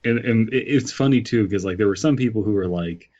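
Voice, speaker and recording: A man co-hosting a podcast in casual, joking chat, halting at times with deadpan quips, on slightly lo-fi audio. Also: fairly low pitch. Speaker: podcast co-host.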